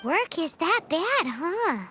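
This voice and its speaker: A woman's voice: very animated, slow, sharp tone, exaggerated voice. A woman voicing a tiny, perky fairy companion with a childlike voice in an anime game. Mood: chirpy. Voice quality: squeaky, childlike. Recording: music behind the voice.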